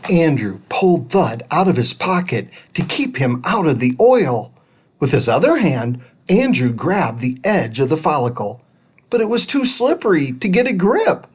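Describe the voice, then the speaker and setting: A woman reading a children's storybook aloud as an expressive narrator. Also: low voice, moderate pace, husky. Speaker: storybook reader.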